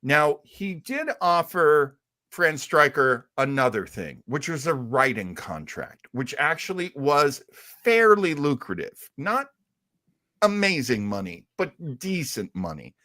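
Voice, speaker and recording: A man co-hosting a live talk show about old radio, telling its history as an expressive storyteller. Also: a little high, slow. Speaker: co-host.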